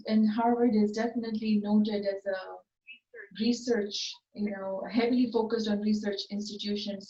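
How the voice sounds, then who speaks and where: A woman's voice: medium pitch, dark tone. A woman in her forties giving conversational answers as an interview guest. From Tanzania. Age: 40s. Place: remote online call, indoor room. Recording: muffled, roomy, distant call audio.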